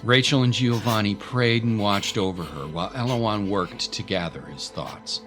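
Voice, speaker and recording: A man's voice: lowish voice, moderate pace, fairly bright tone. A man narrating a scripted audio drama as a dramatic storyteller. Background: music.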